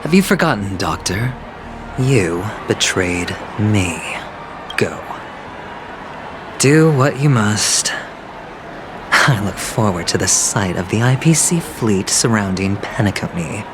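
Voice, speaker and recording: A man's voice: fairly low pitch, lively intonation, slow pace, pauses often, background noise. An actor voicing a cocky, reckless young male gambler in a game cutscene, speaking wryly with teasing half-whispered asides. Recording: game audio over music.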